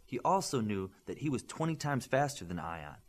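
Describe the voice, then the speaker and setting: A man's voice: mid-range voice, big pitch swings, moderate pace. A man reading a scripted adventure story aloud as an audiobook narrator.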